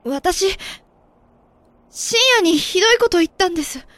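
A woman acting a troubled, polite, soft-hearted young girl in a Japanese audio drama. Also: extremely high pitch, animated, unhurried, no room sound. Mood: shaken, regretful. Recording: faint background noise.

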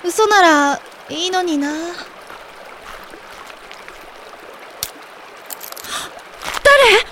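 A woman acting a troubled, polite, soft-hearted young girl in a Japanese audio drama. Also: extremely high pitch, big pitch swings, slow, exaggerated voice. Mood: wistful. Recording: faint background noise.

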